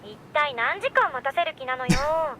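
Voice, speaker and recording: Impatient teenage visual novel girl: high, rapid-fire nagging, some background noise. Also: lively intonation. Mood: irritated scolding. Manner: bossy, nagging, sharp-tongued. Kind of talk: Japanese visual novel voice acting.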